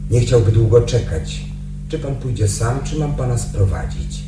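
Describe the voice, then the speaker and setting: An actor playing a polite, insistent sanatorium attendant in a Polish radio drama, heard from a distance with echo. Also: male voice, medium pitch, moderate pace, lightly acted. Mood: formal. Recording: distant, echoey, under loud guitar music.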